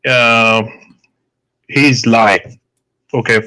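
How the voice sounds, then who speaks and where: Hesitant Indian-accented man explaining slowly. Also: medium pitch, steady tone, bright, forceful tone, poor audio. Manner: hesitant, many fillers and restarts, explains with examples. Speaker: doctor debating a guest. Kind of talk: live debate.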